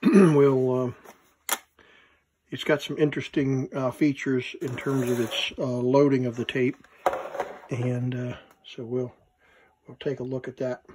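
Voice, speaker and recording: A man with a mid-pitched voice talks slowly through a hands-on demonstration. He is casual and halting, thinking aloud in short fragments. There is steady noise and some clicking in the recording. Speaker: vintage electronics collector presenting his item.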